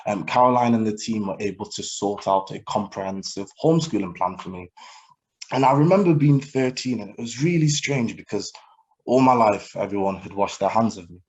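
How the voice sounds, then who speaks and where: Young guy early 20s, fairly deep voice, telling his own story at a talk, earnest n measured. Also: rough recording. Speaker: guest speaker. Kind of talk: personal testimony in a public talk.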